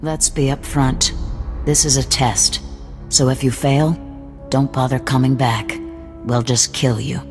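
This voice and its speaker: A gruff, suspicious rebel commander with cybernetic implants, as a man plays him, handing out threats in a flat, curt voice. Soft game music plays underneath. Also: medium pitch, unhurried, lightly acted. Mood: hostile. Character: hard, distrustful male rebel leader with cybernetics. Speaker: resistance cell leader. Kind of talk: video game cutscene acting.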